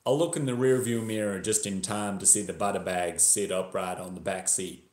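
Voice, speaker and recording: Guy doing a hard-boiled texan narrator reading a crime thriller, lowish voice, tense, roomy echo. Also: normal speed, darkish tone, natural voice. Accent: attempted Texan. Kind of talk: first-person audiobook-style thriller reading. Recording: roomy echo, live video stream.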